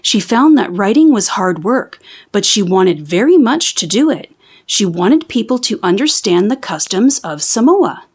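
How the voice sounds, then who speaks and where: A woman reading a children's book aloud as an expressive storyteller. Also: mid-range voice, unhurried, breathy edge. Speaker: storybook reader. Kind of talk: children's read-aloud narration.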